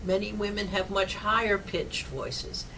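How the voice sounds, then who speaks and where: Older woman chaplain, deep and forceful, projects well; distant mic, light background noise. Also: steady tone, measured pace. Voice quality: low-pitched, projecting voice. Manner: loud and forceful, rambling personal stories. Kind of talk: devotional talk.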